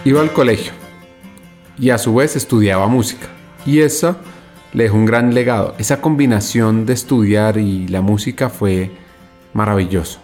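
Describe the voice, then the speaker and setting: A man's voice: fairly low pitch, moderate pace, darkish tone. A man narrating a guest's profile as host, in a scripted storytelling style. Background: guitar music.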